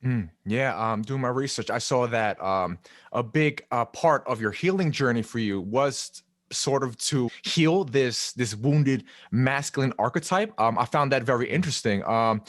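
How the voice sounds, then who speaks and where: A man's voice: medium pitch, animated, normal speed, no echo, clean studio sound. A man hosting a casual interview podcast, putting his questions and comments in a tentative, hesitant way.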